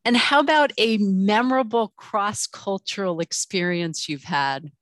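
A woman's voice: medium pitch, measured pace, a bit bright, dry recording. A woman hosting a podcast interview, asking friendly questions and laughing along with her guest. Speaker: podcast host.

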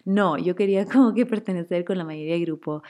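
A woman's voice: on the low side, fast, darkish tone. A young woman from Chile whose Spanish carries a Guayaquil accent with Chilean traces. She tells a personal story in a chatty way, full of fillers like "como que" and "o sea".

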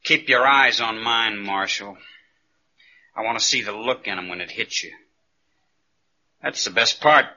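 An actor playing a cold-blooded, cocky gunslinger villain in an old radio western, with a taunting, folksy way of talking. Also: male voice, lowish voice, measured pace, long pauses, bright, forceful tone, full character voice. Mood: taunting, menacing. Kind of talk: 1950s radio western acting. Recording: dull old radio broadcast recording.

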